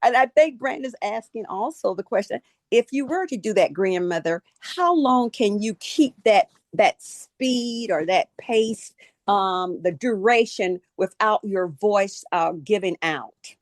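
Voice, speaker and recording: A woman co-host chimes in on a casual podcast, backing up questions with encouraging mm-hmms. Her voice is middle in pitch, and the sound is dry and close. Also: lively intonation, measured pace, dry recording. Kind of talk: casual interview chat.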